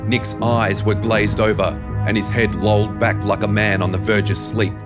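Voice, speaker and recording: A man reading a suspenseful story as a narrator, in a measured, dramatic scripted style. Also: on the low side, little pitch movement. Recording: loud music under the narration.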